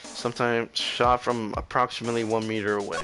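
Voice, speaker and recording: Man acting arrogant male prosecutor, measured, cold, lowered pitch, courtroom game, background music. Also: little pitch movement, lightly acted. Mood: assured. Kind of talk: video game voice-over reading.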